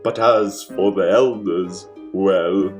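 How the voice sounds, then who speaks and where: A man's voice: deep voice, fairly even intonation, slow, over music, voice pushed down. A man playing a megalomaniac, bossy mad scientist villain with theatrical delivery, in the style of old comedic radio mystery. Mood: scheming. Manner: theatrical, exclaiming, cackling.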